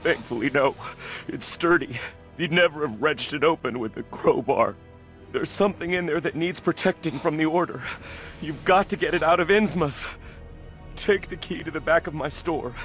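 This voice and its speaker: A man's voice: fairly high pitch, normal speed, fairly bright tone, faint music behind, lightly acted. An actor playing a desperate, grieving shopkeeper and father in a video game, speaking with grave urgency. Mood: urgent, grave. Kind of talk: video game cutscene acting.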